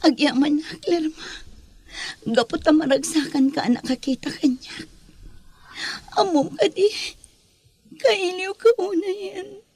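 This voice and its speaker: A woman's voice: very high voice, a little slow, long pauses, a little mellow. A woman playing a sorrowful, pleading mother in an Ilocano radio drama, with an Ilocano Filipino accent and earnest delivery. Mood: tender.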